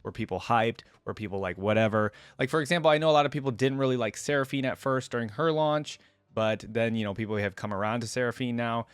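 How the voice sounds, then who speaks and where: A man's voice: mid-range voice, quick pace, smooth, no room sound. A young man giving casual, off-the-cuff reaction commentary as a video host, informal and rambling. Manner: informal, rambling, tags points with "right?".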